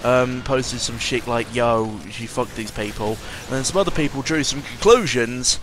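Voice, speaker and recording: A man's voice: medium pitch, moderate pace, background noise. A man giving a casual, rambling audio-log monologue as host, sardonic and cynical, often interrupting himself and swearing freely.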